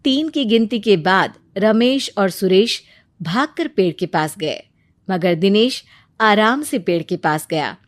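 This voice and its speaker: A woman's voice: mid-range voice, lively intonation, slow, no echo. A woman narrating a scripted children's moral story as the storyteller.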